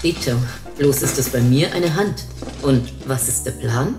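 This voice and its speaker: Woman playing a low-voiced sarcastic wife. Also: measured pace. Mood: dry. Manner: deadpan, sarcastic. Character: dry, sarcastic, skeptical newlywed, bickering with her wife. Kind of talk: audio short-story dialogue. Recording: roomy echo, faint music.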